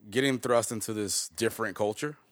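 A man in his thirties hosting a conversational interview, asking questions and reflecting in a relaxed way, often tagging "right?". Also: lowish voice, brisk, dry recording, clean studio sound. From United States. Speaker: interview host.

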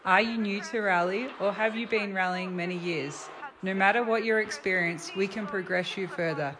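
A woman reading a short advertisement in a clear, scripted way. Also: mid-range voice, normal speed, background noise. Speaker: ad announcer. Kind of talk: read advertisement.

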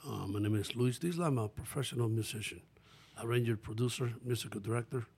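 A man's voice: mid-range voice, fast talker, creaky, slightly rough. Older Dominican-born man, easygoing answers. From Dominican Republic. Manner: relaxed, plain-spoken, short answers. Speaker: guest musician. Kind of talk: casual interview.

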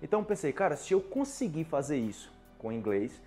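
Young man from Brazil, Portuguese teacher, encouraging, simple clear words, slightly high voice, soft music behind. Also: sing-song, a little fast. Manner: encouraging, repeats "ok?" and "galera", clear simple wording. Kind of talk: motivational talk to learners.

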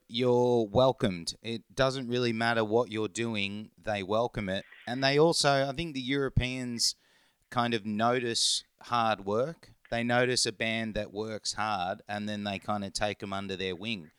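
A man's voice: medium pitch, fairly even intonation, a little slow, a bit bright, clear, resonant tone. A man from England and Norway hosting a podcast, asking friendly questions with fillers in casual talk. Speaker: podcast host. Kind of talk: casual interview.

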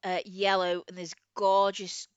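A woman's voice: medium pitch, monotone, measured pace, clear, resonant tone, no room sound, highs cut off. A woman co-hosting a podcast, chatting about books in an effusive, informal way. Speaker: podcast co-host. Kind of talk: casual book chat.

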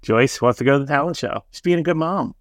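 A man co-hosting a podcast, relaxed, working through his notes in casual chat with short agreements. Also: a little high, fast talker, no room sound, clean studio sound. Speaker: podcast co-host. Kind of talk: casual episode recap chat.

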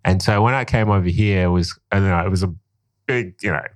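Deep-voiced man chatting casually. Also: quick pace, dry recording, clean studio sound. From Liberia, raised in Guinea. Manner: rambling, many fillers, laughs while telling stories. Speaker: podcast guest. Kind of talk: casual podcast conversation.

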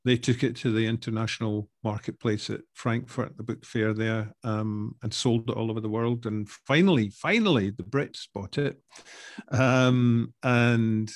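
An older man with a Scottish accent, an author answering interview questions over a video call, pausing often with ums and restarts. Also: mid-range voice, steady tone, dry recording, studio quality. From Scotland. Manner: hesitant, many ums and restarts. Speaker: author guest.

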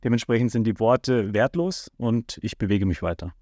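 Calm lower-voiced man, dry sound. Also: no room sound. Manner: calm, measured, explains at length with fillers. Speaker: podcast co-host. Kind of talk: casual podcast conversation.

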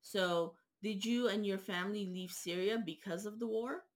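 A woman interviewer who reads a formal introduction and asks questions at a measured pace. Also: mid-range voice. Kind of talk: reading a scripted introduction.

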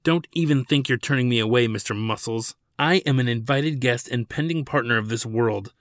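A man's voice: mid-range voice, brisk, fairly bright tone, dry recording, studio quality, lightly acted. A man voicing a blustering, pushy male schemer who demands his way. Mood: demanding. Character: blustering male con man faking alien accent.

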